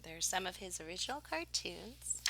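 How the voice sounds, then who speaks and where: A woman reading a picture book aloud like a teacher, expressively, with dramatic pauses and emphasis on key words. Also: fairly low pitch, big pitch swings, noisy background.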